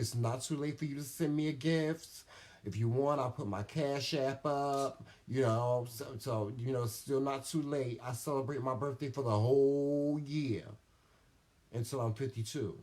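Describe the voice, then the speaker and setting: A man's voice: medium pitch, moderate pace, darkish tone. A man in his fifties co-hosting a casual talk show, bantering bluntly and sassily and swearing for emphasis. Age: 50s. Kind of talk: casual banter, reading live comments.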